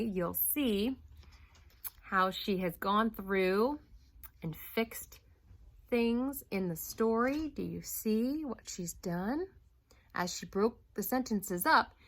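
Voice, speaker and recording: Animated, slow-paced woman teacher reading aloud. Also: fairly high pitch. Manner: animated storybook reading with teacherly questions to the class. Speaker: first grade teacher. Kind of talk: reading a picture book aloud to young children.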